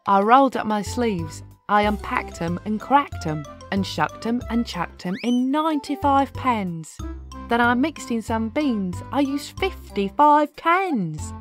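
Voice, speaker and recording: Female storybook narrator: animated pitch, unhurried rhythmic rhyme, music underneath. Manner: expressive, deliberate rhythmic delivery. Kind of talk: animated children's read-aloud of a rhyming story. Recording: music behind the voice.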